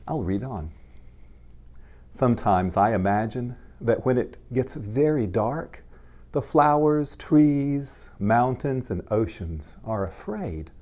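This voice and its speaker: A man, a pastor, reading aloud to young children in gentle, simple language and addressing them directly. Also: mid-range voice, expressive, slow pace, long pauses.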